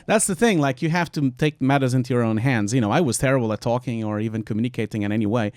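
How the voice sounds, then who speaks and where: A man in his forties from Portugal, with a Portuguese accent, hosting a casual panel discussion in an earnest, emphatic way, talking in quick bursts with many fillers. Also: mid-range voice, a little mellow, clear, resonant tone, dry recording, clean studio sound.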